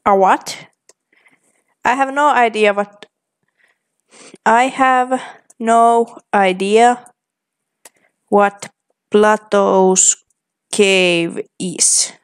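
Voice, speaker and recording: Slow-speaking young woman. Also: medium pitch, steady tone, smooth. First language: Finnish. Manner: slow, deliberate, reading typed replies aloud. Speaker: vlogger. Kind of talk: casual commentary reading chat aloud.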